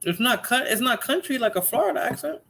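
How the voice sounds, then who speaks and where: A woman's voice: high-pitched, sing-song, fast, gravelly, a bit bright, slight background noise. A woman with a Bronx accent hosting a casual livestream chat, laughing often and repeating herself. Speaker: livestream host.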